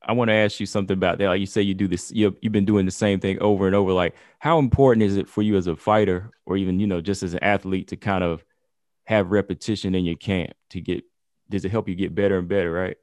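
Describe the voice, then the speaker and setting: A man's voice: deep voice, steady tone, fast, a little mellow, no room sound, clean studio sound. A man hosting his channel, asking casual, rambling interview questions full of fillers.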